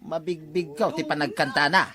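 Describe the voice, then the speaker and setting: A man's voice: on the high side, steady tone, normal speed, heavy background noise, lightly acted. A man playing a touchy village man in a radio comedy drama. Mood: indignant.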